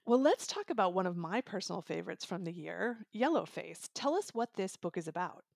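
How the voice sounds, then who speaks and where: A woman's voice: on the low side, lively intonation, brisk, gravelly, darkish tone, no room sound, studio quality. A woman hosting an interview show, speaking in a polished but conversational style. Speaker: podcast host, magazine publisher. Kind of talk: hosted audiobook interview, polished intro and chat.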